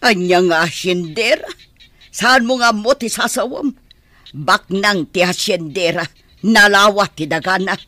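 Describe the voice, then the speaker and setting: Performer for a defensive, scornful in-law in a radio play; mid pitch, dry close sound. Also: measured pace, sharp tone, no echo. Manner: snappish, defensive. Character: sharp-tongued, evasive in-law parent. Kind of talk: amateur radio drama acting.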